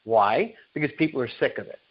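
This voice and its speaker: A man's voice: medium pitch, lively intonation, a little fast, breathy edge. A man giving an emphatic promotional sales presentation as a company executive, repeating phrases and answering his own rhetorical questions. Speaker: company chief executive pitching on a conference call. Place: conference call. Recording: dull, lo-fi conference call recording.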